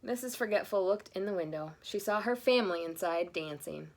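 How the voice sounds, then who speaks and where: A woman's voice: mid-range voice, a little fast. A woman reading a children's story aloud, with playful asides. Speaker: storybook reader.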